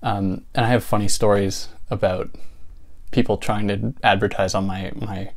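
A man's voice: on the low side, fairly even intonation, moderate pace. A young man hosting his own video channel, talking casually and thoughtfully about books, with a soothing voice and many fillers. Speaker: book channel host. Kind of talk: casual vlog talk.

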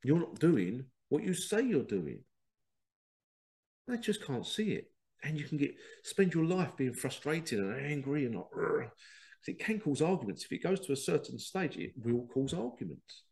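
A man's voice: a little high, big pitch swings, normal speed, vocal fry, darkish tone. A man with a head cold and a congested voice, hosting a rambling spiritual talk and often acting out quoted exchanges. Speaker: podcast host. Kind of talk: casual spiritual monologue.

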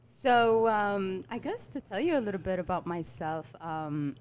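A middle-aged woman from Eritrea who grew up in Ethiopia, a restaurant owner and guest, telling her story casually with many fillers and pauses. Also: medium pitch. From Eritrea, raised in Ethiopia. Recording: faint background noise.

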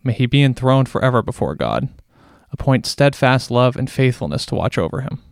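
A man reads scripture solemnly in a crisp, resonant voice of medium pitch. There is a creaky, gravelly edge to it, and the recording is dry and close. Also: very animated, a little fast, dry recording. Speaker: scripture reader. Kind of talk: solemn psalm reading.

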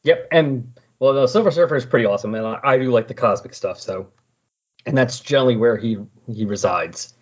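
A man's voice: medium pitch, fairly quick, breathy edge, dull audio. A man hosting a hobby podcast, talking in a casual, conversational style. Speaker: podcast host. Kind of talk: casual comics podcast talk with read synopsis.

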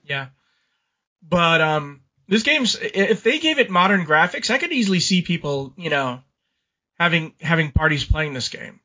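Guy on a gaming podcast, bit high voice, stumbles and restarts a lot, dull lo-fi sound. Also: big pitch swings, bright, forceful tone. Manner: halting, many restarts and repeated words. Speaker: podcast co-host. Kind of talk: casual podcast chat and read-out history segment.

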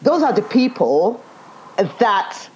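A woman with a Nigerian accent, telling stories in an emphatic, animated way during a casual conversation. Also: medium pitch, slow pace, a little hiss or hum, rough recording. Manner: animated, emphatic storytelling with laughs. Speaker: guest.

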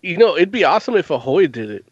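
A man with a fairly high voice eagerly jumps into a casual chat. His voice sounds dry and close. The lo-fi recording dulls the sound. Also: big pitch swings, quick pace, slightly rough, dry recording.

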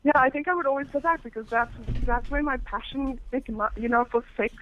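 A woman's voice: high-pitched, fairly quick. A woman from Africa, a guest chatting frankly and casually about her work, with many restarts. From South Africa. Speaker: guest, phone sex operator. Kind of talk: casual interview chat. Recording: dull muffled phone-like sound.

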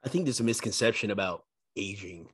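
A man in his forties hosting a casual interview, encouraging and warm toward his guest, often repeating words as he talks. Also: lowish voice, fast, slightly rough. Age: 40s. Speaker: podcast host.

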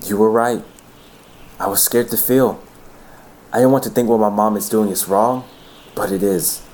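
A man's voice: lowish voice, fairly even intonation, moderate pace, darkish tone, close to an everyday voice. An actor playing an earnest, lovelorn young man in an audio drama, with a pleading manner. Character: earnest, lovelorn young man rebelling against his mother.

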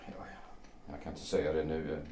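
A man playing a wounded, jealous fifty-year-old former lover in a period audio drama, brooding and halting, often repeating his words. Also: a bass voice, monotone, mellow, far from the mic, a little hiss or hum. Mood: wounded, resigned. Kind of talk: period stage-play audio drama acting. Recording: roomy echo, away from mic.

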